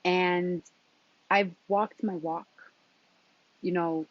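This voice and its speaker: A woman speaking as a spiritual coach in a motivational talk, deliberately, in short phrases with emphatic repetition and a husky voice. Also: fairly low pitch, steady tone. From New York, of Colombian background. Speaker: transformative coach and intuitive guide. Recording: dull, lo-fi sound.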